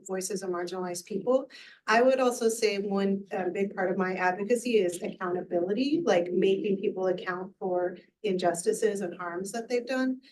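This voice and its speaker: A woman's voice: mid-range voice, darkish tone, distant mic, poor audio. A woman in her thirties, a researcher on a panel, speaking candidly with casual fillers. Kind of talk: panel discussion.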